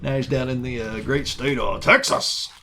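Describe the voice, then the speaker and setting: A man's voice: medium pitch, steady tone, normal speed, bright, forceful tone. A man co-hosting a casual chat about old gadgets, talking in a folksy, plain-spoken way. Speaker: co-host of an old tech show. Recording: clear background noise, room ambience.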